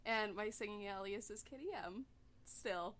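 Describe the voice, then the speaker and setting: A woman's voice: mid-range voice, fairly bright tone. A nineteen-year-old woman from Canada hosting a casual chat with friends, playful and bubbly. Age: young adult. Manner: playful, bubbly, quick to laugh. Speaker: host of the voice meme.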